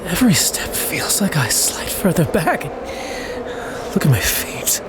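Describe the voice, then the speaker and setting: A man's voice: fairly high pitch, very animated. Man acting a breathless, weary adventurer in howling wind. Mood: exhausted, struggling. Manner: halting, stammering, gasping with effort. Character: weary, anxious armored male adventurer struggling through snow. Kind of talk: audio drama acting, thinking aloud. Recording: loud wind and blizzard noise.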